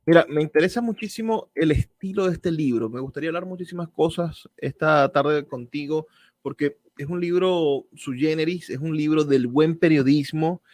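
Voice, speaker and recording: A man's voice: fairly high pitch, normal speed, a little mellow, no echo. A man with a Venezuelan accent presenting and interviewing as a radio host, effusive and wordy, repeating words for emphasis.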